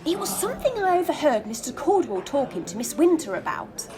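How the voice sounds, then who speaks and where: A woman's voice: high voice, big pitch swings, fairly quick, over music. A woman playing a genteel, gossipy hotel receptionist in an audio drama, prim and coy. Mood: coy gossiping.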